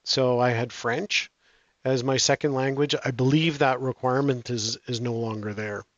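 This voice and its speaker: A man's voice: medium pitch, steady tone, moderate pace, dry recording. Hesitant man, dull dry audio. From Canada. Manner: hesitant, frequent restarts and fillers. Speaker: guest. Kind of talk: casual interview answers.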